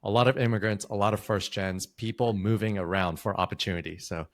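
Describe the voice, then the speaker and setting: A man's voice: fairly low pitch, fairly even intonation, brisk. A man hosting a podcast, reading a scripted intro and then asking loose, friendly questions with fillers. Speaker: podcast host.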